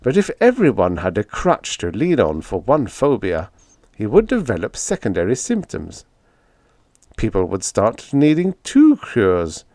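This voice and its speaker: A man's voice: on the low side, darkish tone, no room sound, clean studio sound. A man reading a scripted short story aloud as an audiobook narrator.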